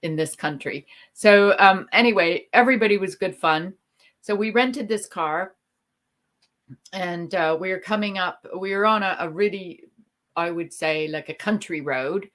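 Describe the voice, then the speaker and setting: Woman, middle-aged, low-ish voice, rambling livestream host with lots of ums. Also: measured pace, fairly bright tone. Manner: chatty, rambling storyteller with many ums. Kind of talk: casual chat on a livestream.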